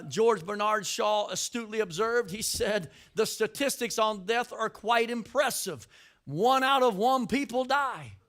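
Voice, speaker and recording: An older man, a pastor, preaching a sermon with emphatic rises and shouted lines, repeating words as he builds his points. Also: very high voice.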